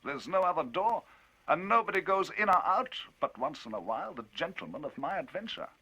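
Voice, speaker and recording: Brief: a man voicing a worked-up gentleman narrator, lively swoops, forceful, husky. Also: on the high side, a little fast, dull audio, pitched above the performer's own voice, exaggerated voice. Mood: animated. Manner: emphatic, rising, animated storytelling.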